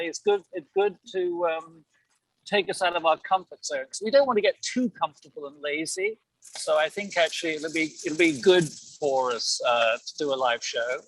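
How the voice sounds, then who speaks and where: A man's voice: a little high, dry recording, poor audio. A man, a voice actor, chatting casually in a hesitant, self-deprecating manner. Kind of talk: casual chat.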